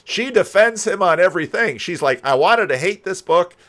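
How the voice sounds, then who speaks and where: An older man giving casual, rambling commentary as a host, halting and thinking aloud. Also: high voice, expressive, a bit bright. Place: home room lined with books. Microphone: desk microphone, close, with headphones.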